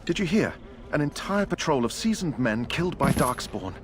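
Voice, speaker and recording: An actor playing an anxious, doubting knight in a fantasy video game, speaking in an agitated, protesting way. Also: male voice, on the high side, very animated, moderate pace. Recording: noisy game ambience behind voice.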